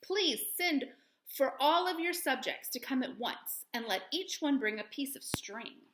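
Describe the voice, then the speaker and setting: A woman's voice: high voice, lively intonation, a little slow, fairly bright tone, roomy sound, a little hiss or hum, low-quality recording. A woman voicing a kindly, decisive male scarecrow in a storybook, practical and earnest as he speaks. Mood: earnest, reassuring.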